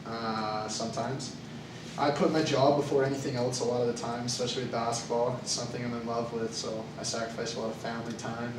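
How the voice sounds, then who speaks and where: Young man, hesitant, echoey far recording. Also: medium pitch. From Canada. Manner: hesitant, with frequent uh and um fillers. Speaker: job candidate in a mock interview. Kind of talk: student role-play job interview. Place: classroom. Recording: echoey room, far from the mic, noisy.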